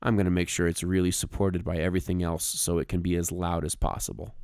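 A man's voice: deep voice, level tone, fast, a little mellow, clear, resonant tone, no room sound, clean studio sound. A man co-hosting a podcast, casually explaining music with emphatic, stop-start phrasing. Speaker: podcast co-host.

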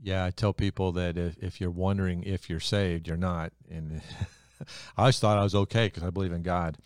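Very deep-voiced earnest man. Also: moderate pace, smooth, dry recording, clean studio sound. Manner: earnest storyteller, lively when excited.

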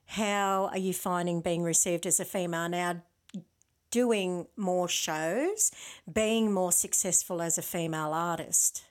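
Encouraging woman podcast host. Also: fairly low pitch. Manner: warm, encouraging, agrees eagerly. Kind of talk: casual interview chat.

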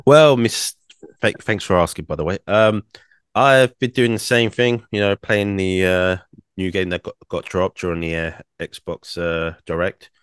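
A young man, co-hosting a casual podcast, rambling with many fillers and restarts, his throat croaky from singing. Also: deep voice, unhurried, no echo, clean studio sound. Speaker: podcast co-host.

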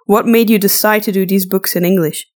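A woman from Flanders with a Flemish accent, a native Dutch speaker, co-hosting a casual chat and pausing mid-sentence. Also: medium pitch, fairly even intonation, darkish tone. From Flanders, Belgium. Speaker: podcast co-host. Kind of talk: casual conversational Q&A.

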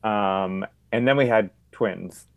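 A man's voice: on the low side, takes it slowly, gravelly, phone-quality sound. A man talking casually as an author guest on a panel, in a matter-of-fact way with many fillers.

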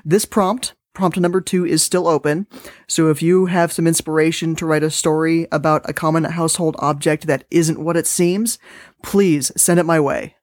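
A man's voice: on the high side, steady tone, moderate pace, no echo, clean studio sound. A man hosting a podcast in a casual, conversational style. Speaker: podcast host.

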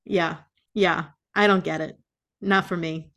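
A woman's voice: fairly low pitch, steady tone, measured pace, fairly bright tone. A woman co-hosting a casual book podcast, talking bluntly and sarcastically with plenty of swearing. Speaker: podcast co-host. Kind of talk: casual chat, book review.

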